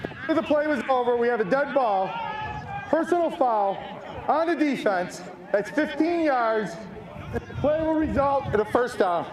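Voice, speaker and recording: A man's voice: very high voice, animated, unhurried, long pauses, reverberant. A man announcing penalties formally as a football referee, heard over a distant, noisy stadium address system. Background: crowd and stadium noise.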